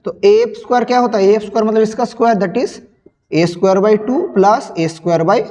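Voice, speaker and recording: A young male physics teacher with a sore, hoarse throat, slowly working through a sample paper and repeating questions to his students. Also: high-pitched, a little mellow. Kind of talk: solving a sample paper on screen. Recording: long reverb.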